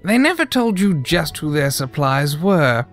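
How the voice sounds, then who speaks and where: Male griffin for audio drama male actor: lighter, higher register, wronged and defensive. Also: big pitch swings, a little slow, a bit bright, soft background music, full character voice. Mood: indignant, explaining. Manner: defensive, explanatory. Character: indignant, defensive male griffin gem-mine heir.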